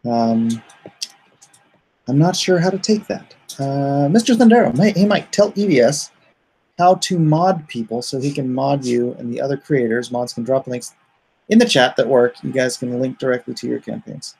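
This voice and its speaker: A man's voice: medium pitch, normal speed, vocal fry, darkish tone, slightly rough, low-quality recording. A man hosting a livestream, chatting casually and reading viewer comments aloud in a rambling, joking way. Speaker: comic artist hosting a livestream.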